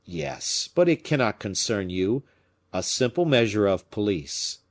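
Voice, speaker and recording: A man voicing a shrewd, courteous musketeer captain in an audiobook, speaking in a measured, pointed, formally polite way. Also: on the low side, expressive. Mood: feigned casualness. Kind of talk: audiobook character acting.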